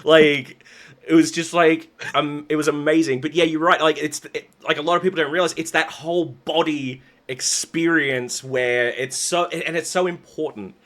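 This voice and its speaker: Male host, bright voice, eager, chatty, quick bursts. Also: a little high, steady tone. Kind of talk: casual interview conversation.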